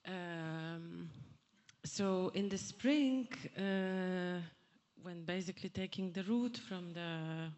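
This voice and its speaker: Woman, slow, hesitant public question, lowish voice. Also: a little mellow. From Poland. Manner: halting, many fillers and restarts. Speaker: audience member asking a question. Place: theatre hall at a public event.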